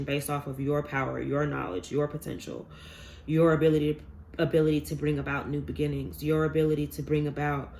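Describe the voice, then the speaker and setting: A man's voice: a little high, darkish tone. Stuffy-voiced man doing a loose, rambling tarot reading; a faint hiss sits under his voice. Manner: rambling, filler-heavy, earnest. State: stuffy from a sinus infection. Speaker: tarot reader. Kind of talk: casual collective tarot reading.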